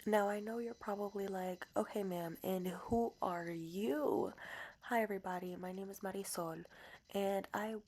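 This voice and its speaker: A woman's voice: mid-range voice, unhurried, a little mellow. A woman in her twenties from New Jersey with a New Jersey accent, Spanish her first language, chatting in a casual diary vlog voice-over with playful, emphatic repetition. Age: 20s. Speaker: vlogger.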